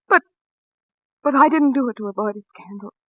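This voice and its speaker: Fragile proper wife in old radio play, high halting voice, muffled phone-like sound. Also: female voice, lively intonation, dry recording. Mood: pleading. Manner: halting, anguished, formal and proper. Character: respectable small-town wife under arrest, fragile yet composed. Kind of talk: 1940s radio drama acting. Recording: muffled vintage radio recording, phone-like band.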